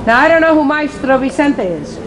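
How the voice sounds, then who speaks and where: A woman's voice: high voice, animated, a little fast, noisy background. A woman from Connecticut with an American accent, chatting her way through a guided tour as the group's guide. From Connecticut, USA. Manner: chatty, friendly, jokey.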